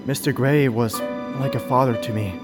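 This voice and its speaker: An actor playing a withdrawn, nervous male survivor in a radio drama, hesitant and halting. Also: mid-range voice, steady tone, loud music behind the voice, lightly acted. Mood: fragile, guarded. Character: withdrawn, wounded man, nervous abuse survivor.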